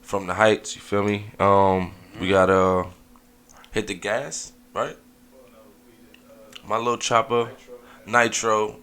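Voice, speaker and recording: A man's voice: on the low side, level tone, takes it slowly, pauses often, fairly bright tone, clean tone. A man from Brooklyn, a rapper guest on a radio show, talking in laid-back slang with plenty of fillers. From Brooklyn, New York. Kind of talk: casual radio interview.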